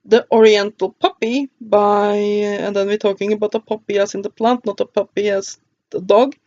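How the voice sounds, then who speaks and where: Brief: Norwegian woman, casual book reviewer, hesitant and halting, dry and slightly dull home recording. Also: medium pitch, flat delivery, a little mellow, no room sound. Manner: halting, with frequent "uh" and stumbles over names. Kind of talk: casual book review, reading a table of contents.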